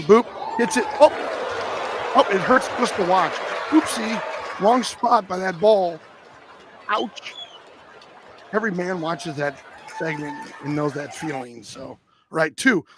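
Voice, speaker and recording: Casting: male livestream host, high-pitched and raspy, recorded dry, casual and rambling delivery. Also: a bit bright, no room sound. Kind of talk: casual livestream chat and clip commentary.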